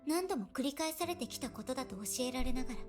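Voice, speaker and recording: A woman's voice: high-pitched, lively intonation. A woman voicing a solemn, earnest young church princess in a visual novel, speaking politely and formally. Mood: grave, confessing. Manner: polite, formal, measured phrasing. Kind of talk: Japanese visual novel voice acting. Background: game music.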